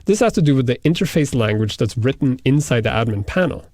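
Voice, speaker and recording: Male software tutorial instructor, Norwegian native speaker, methodical step-by-step explainer, mid pitch, dry close sound. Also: expressive, quick pace, no echo. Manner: explanatory, walks through settings step by step. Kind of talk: screen-recorded software tutorial.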